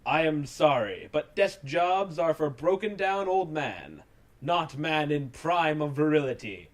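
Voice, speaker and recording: A man voicing a smug, grandly calm cartoon cat hero in a storybook parody. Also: on the high side, unhurried. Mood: proud refusal.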